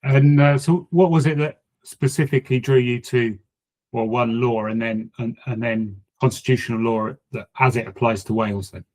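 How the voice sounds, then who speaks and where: Podcast host man, mid-pitched questions. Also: steady tone, moderate pace, a little mellow. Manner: tentative, rambling questions. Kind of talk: podcast interview.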